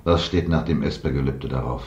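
An actor playing a shrewd, relentless investigator in a German radio play, with a probing, controlled, insistent manner. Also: male voice, rumbling low, brisk, darkish tone, reverberant, highs cut off. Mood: alert. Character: shrewd, relentless male investigator cornering a suspect.

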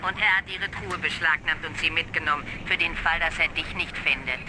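A man's voice: fairly high pitch, a little fast, noisy background, lightly acted. An actor playing a blunt, gloating man in a radio drama. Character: blunt, gloating man delivering bad news.

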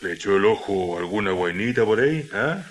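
A man's voice: on the low side, animated, a little fast, a little hiss or hum, lightly acted. An actor playing a good-natured, burly Chilean miner who teases his workmate in folksy, colloquial speech in a radio drama. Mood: teasing.

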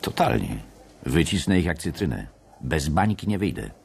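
An actor playing a blunt, brash, self-assured banker colleague in a radio drama, with a husky, gravelly voice. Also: male voice, rumbling low, big pitch swings, normal speed, full character voice. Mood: defiant. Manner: blunt, swaggering.

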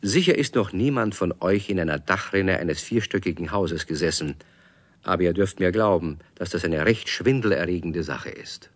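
A man's voice: sits low, expressive, moderate pace, dry recording. A man narrating a children's radio play, speaking expressively and directly to young listeners as a friendly storyteller. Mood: storytelling.

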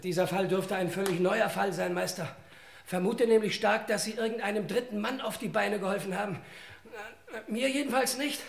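A man's voice: sits high, moderate pace, gravelly. An actor playing a wry, chatty detective's sidekick in an old radio crime play, deferring to his boss in dryly ironic, stilted phrases.

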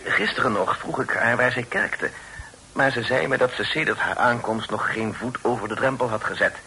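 A humble, bright-voiced amateur poet. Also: male voice, mid-range voice, normal speed. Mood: earnest, courteous. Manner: deferential, wordy, genteel old-fashioned speech. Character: humble, effusively grateful amateur poet, Mr Helding. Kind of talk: period radio play acting. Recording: noisy old radio drama recording.

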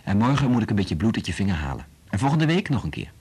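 Radio drama: gentle, reassuring doctor, low husky voice, very lively intonation. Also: male voice, fast talker, heavily acted. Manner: gentle, coaxing. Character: kindly, reassuring male doctor soothing a child.

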